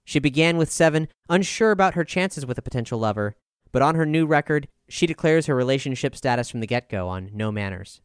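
A man with a smooth voice narrating a scripted music review as a voice-over. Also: mid-range voice, expressive, brisk, dry recording, clean studio sound.

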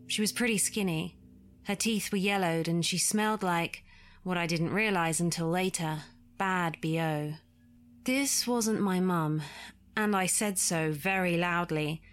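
Woman narrating a story, slightly low voice. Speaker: guest reader of listener stories. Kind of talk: scripted first-person story narration.

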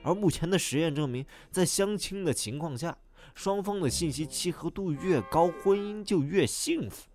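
A man's voice: fairly high pitch, expressive, normal speed, darkish tone, lightly acted. A man playing a polite, fussy scientist who explains things formally and with awkward tact in an audio drama. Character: polite, fussy male scientist acting as matchmaking consultant. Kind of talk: Chinese audio drama acting. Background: music.

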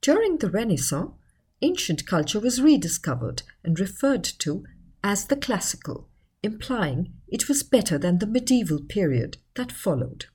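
A woman reading a scripted educational article in a measured, explanatory manner. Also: medium pitch, sing-song, darkish tone, husky. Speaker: article narrator.

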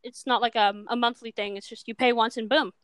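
A woman's voice: on the high side, fairly even intonation, fairly quick, a bit bright, clear, resonant tone, no room sound. A seventeen-year-old girl from Minnesota chatting as a guest about her animation work, on a cheap microphone, with lots of ums. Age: teens. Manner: chatty, filler-heavy, self-deprecating. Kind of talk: casual chat.

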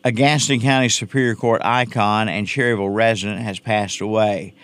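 A man's voice: on the low side, fairly even intonation, brisk, fairly bright tone, clear, resonant tone. A man delivering scripted local news as a radio reporter.

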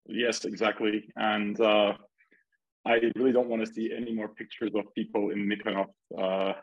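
A man's voice: on the low side, flat delivery, moderate pace. A middle-aged man from Turkey, a native Turkish speaker, telling his story casually as a podcast guest. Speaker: podcast guest, startup investor and entrepreneur. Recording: echoey, dull lo-fi call audio.

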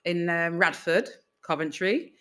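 A woman's voice: fairly low pitch, unhurried. Older Mancunian woman telling her story. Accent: Mancunian English. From England. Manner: reflective, warm storytelling with frequent "you know" and "um". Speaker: guest. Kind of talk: casual interview.

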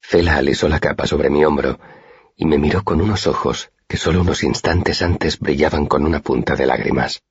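A man's voice: very deep voice, normal speed, husky, no room sound. A man reading a first-person literary story as an audiobook narrator, in a dull, muffled recording.

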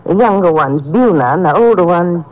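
A woman's voice: lowish voice, expressive, moderate pace, a little mellow, natural voice. An actress playing a chatty, fussy middle-aged dress shop saleswoman in a 1950s radio drama, gossipy, hesitant and eager to help. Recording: noisy, dull 1950s radio recording.

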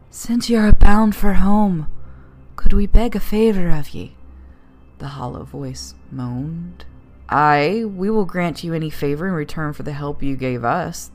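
A woman reading a story as its author, in a dramatic, measured and suspenseful narrating style. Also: sits low, darkish tone, a little hiss or hum. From United States. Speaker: author reading own tale.

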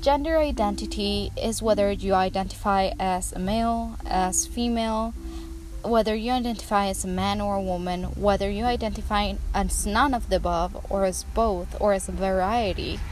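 A woman's voice: mid-range voice, normal speed, music in the background, poor audio. A young woman hosting a personal podcast, speaking candidly and thoughtfully in English, which is not her first language. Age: young adult. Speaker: podcast host. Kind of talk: personal reflective podcast monologue.

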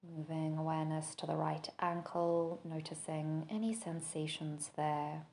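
A woman leading a guided body scan meditation, giving gentle, measured instructions with long pauses between phrases. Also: deep voice, steady tone. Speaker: podcast host and meditation teacher.

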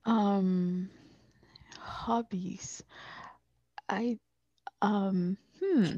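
A woman's voice: mid-range voice, fairly even intonation, extremely slow, dark tone, dry recording, lo-fi sound. A woman in her late thirties chatting on a podcast, joking and hesitant at times. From Bermuda. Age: 30s. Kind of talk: casual podcast chat.

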